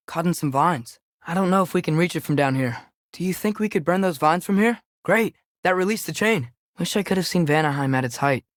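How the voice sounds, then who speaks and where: A man's voice: on the high side, no echo, clean studio sound, close to an everyday voice. A young man voicing an earnest, curious teenage boy adventurer in a video game, with natural, inquisitive phrasing. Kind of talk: video game voice acting, companion dialogue.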